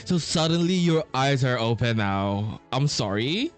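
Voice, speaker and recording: A man gives casual playthrough commentary. He speaks slowly in a halting, rambling, slangy way. Game music plays faintly behind him. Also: mid-range voice, lively intonation. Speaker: game streamer. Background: video game music.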